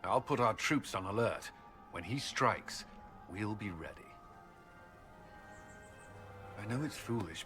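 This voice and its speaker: An actor playing an earnest former templar soldier and devoted suitor in a video game, speaking sincerely with a gentle, hesitant awkwardness. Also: male voice, on the low side, close to an everyday voice. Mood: worried, devoted. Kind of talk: dramatic video game dialogue. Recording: noisy background ambience.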